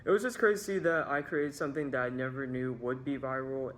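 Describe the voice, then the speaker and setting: A man's voice: mid-range voice, steady tone, fast, a bit bright. Young man narrating a personal story, background noise. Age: young adult. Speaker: video creator telling a story.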